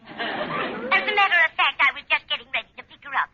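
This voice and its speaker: A woman's voice: sits high, expressive, a little fast, pushed and bright, a faint crowd, exaggerated voice. An actress playing a chatty, unflappable landlady in 1940s radio comedy. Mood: chatty, helpful. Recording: dull vintage radio recording.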